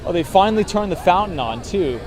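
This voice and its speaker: A man with a hoarse, fading voice chatting casually about markets and sights while walking outdoors in a city, reading out viewer comments with lots of fillers and "right?" tags. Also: sits high, lively intonation, brisk, a crowd in the background. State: hoarse, losing his voice. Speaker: vlogger talking markets on a city walk. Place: outdoors on city streets and in a park. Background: traffic sounds.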